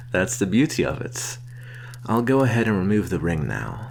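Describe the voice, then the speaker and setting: Slow, low-voiced sly vampire merchant, played by a man. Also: huge pitch swings, gravelly, a little hiss or hum, full character voice. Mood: secretive, proud. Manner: soft-spoken, coaxing, deliberate with sighs and soft chuckles. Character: sly male vampire posing as traveling merchant. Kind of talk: ASMR roleplay.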